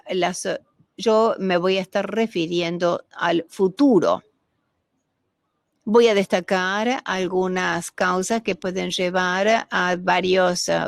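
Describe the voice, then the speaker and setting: A woman giving a formal panel presentation on a video call, halting at times with fillers and restarts. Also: mid-range voice, vocal fry, no room sound, studio quality. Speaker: panel speaker.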